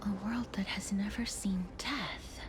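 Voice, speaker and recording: Woman acting a slow-spoken, solemn young priestess. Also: mid-range voice, fairly even intonation, music in the background, natural voice. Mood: solemn, pensive. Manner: measured, polite, soft. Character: calm solemn gentle young priestess in a fantasy game. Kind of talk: video game story dialogue.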